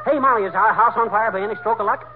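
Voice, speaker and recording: An actor playing a blustery, scheming, hapless husband in a 1940s radio comedy, with excitable delivery. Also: male voice, high voice, very fast, lightly acted. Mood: panicked. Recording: muffled, noisy 1940s radio recording.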